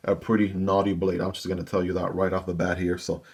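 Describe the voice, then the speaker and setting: A man's voice: low voice, flat delivery, fast talker, darkish tone, breathy edge. A man hosting his own gear channel, chatting casually to viewers as he unboxes and reviews a knife. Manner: chatty, talks straight to viewers.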